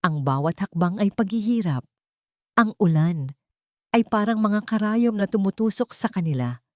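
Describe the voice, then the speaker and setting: A woman narrating a scripted audio drama in a steady, dramatic storytelling manner. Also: mid-range voice, moderate pace, no echo, clean studio sound.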